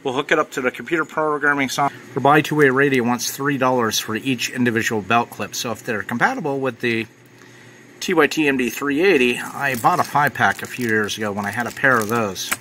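A man talks casually through an unboxing as a reviewer. His voice sits at a middle pitch with a bright, forceful edge. There is faint noise behind him in a simple handheld recording. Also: fairly quick. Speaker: ham radio reviewer.

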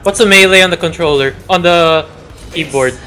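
A man with a high voice chats casually over a co-op game, slipping into a jokey put-on accent. Light game music runs underneath him. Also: moderate pace, pushed and bright. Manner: playfully fake put-on accent. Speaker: gamer. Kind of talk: casual co-op gaming chat.

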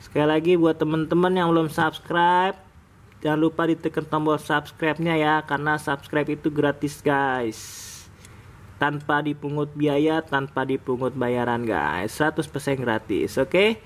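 A man hosting a relaxed toy review vlog, talking casually to viewers as he unboxes toy cars at a table. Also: fairly high pitch, a little slow. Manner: relaxed, chatty, sprinkled with "guys". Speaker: diecast toy channel host. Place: indoors at a table, off camera.

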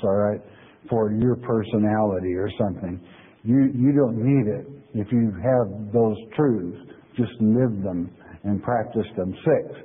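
A husky male preacher works through scripture in an easygoing, chatty way, lingering over each verse before he breaks it down. The sound is thin and phone-like. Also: mid-range voice. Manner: folksy, conversational preaching with frequent "okay?" check-ins; reads verses slowly, then explains them plainly. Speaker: pastor. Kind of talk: sermon lecture on scripture.

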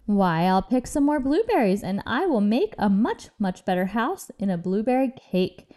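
A woman's voice: medium pitch, sing-song, normal speed, warm tone, natural voice. A woman voicing a carefree little female mouse in a storybook, with a light sing-song delivery. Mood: cheerful. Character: cheerful, carefree little female mouse who loves nibbling.

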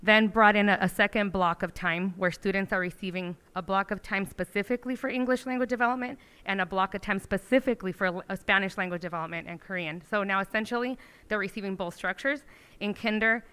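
Woman, middle-aged, board presentation, measured, emphatic, smooth clear voice. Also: medium pitch, fairly bright tone. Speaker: district program administrator presenting to school board. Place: board meeting room, indoors.

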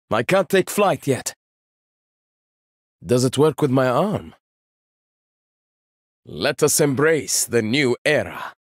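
Casting: proud young game hero, inventor-warrior, dry wit, slow deliberate delivery, lively pitch, dry close studio sound. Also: male voice, breathy edge, no echo, exaggerated voice. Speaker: game character. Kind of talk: video game voice lines.

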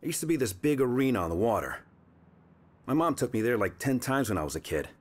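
A man's voice: medium pitch, sing-song, fast. A man playing an earnest, streetwise police detective in a video game, speaking casually. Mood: casual, musing. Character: earnest, quick-thinking male police detective. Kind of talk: naturalistic video game acting.

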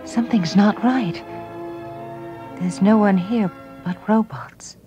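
An earnest woman scientist played by an actress speaks dramatically at a middling pitch. It is a storybook space drama, with clear music behind her. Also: takes it slowly, lo-fi sound, natural voice. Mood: uneasy.

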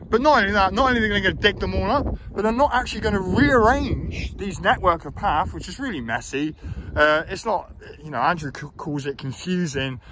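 Man giving a walking park tour. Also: a little high. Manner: explanatory, critical, hesitant with fillers. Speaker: local election candidate. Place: outdoor city park. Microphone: phone camera held while walking. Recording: phone recording outdoors with faint background noise.

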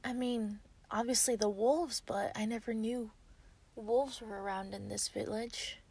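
A woman in an ASMR roleplay voicing an obsessive, sweetly possessive female werewolf, breathy and often dropping into a whisper. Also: a little high, steady tone, mellow, full character voice. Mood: falsely sweet. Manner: slow, teasing, giggly.

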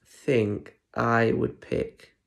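A man's voice: medium pitch, flat delivery, drawn-out words, gravelly. A British man in his twenties reading a children's story in a playful way, with chatty asides and questions for young listeners. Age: 20s. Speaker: primary school story reader. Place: plain room at home. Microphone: phone or tablet at arm's length.

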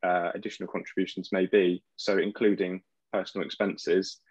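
A man's voice: deep voice, little pitch movement, fairly quick, a little mellow, slightly rough, no room sound. A young man, an accountant, answering questions in a professional video call, hesitant with many fillers and restarts. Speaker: accountant panelist. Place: home room. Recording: dull, lo-fi video-call audio.

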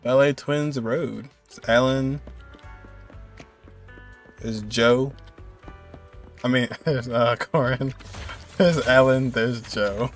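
A man's voice: medium pitch, slow, long pauses. A man in his twenties giving casual, musing reaction commentary as he watches a game. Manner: musing, conversational. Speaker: gamer reacting to cutscenes. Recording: game music faintly behind.

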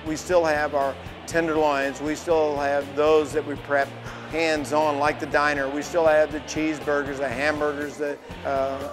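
Older man, halting interview answers. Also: a little high. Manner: halting, restarts, self-correcting. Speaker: building owner.

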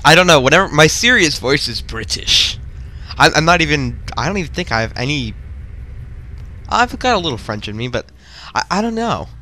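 A man giving casual, excitable, rambling commentary while playing through a video game. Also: mid-range voice. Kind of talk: casual let's-play commentary.

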